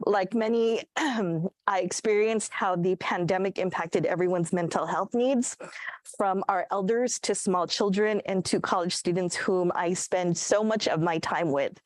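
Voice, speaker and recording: A woman from Guam speaking as an assistant professor, giving earnest, measured prepared remarks over a video call. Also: medium pitch, no echo. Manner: earnest, measured, clears throat at times. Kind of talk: prepared remarks at a virtual event.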